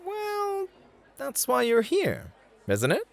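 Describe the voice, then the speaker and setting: A man's voice: very high voice, wildly swooping pitch, takes it slowly, gravelly, dry recording, exaggerated voice. A man playing a suave, polite club host in an ASMR roleplay, flirtatious and courtly as he teases and mentors. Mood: smooth, encouraging.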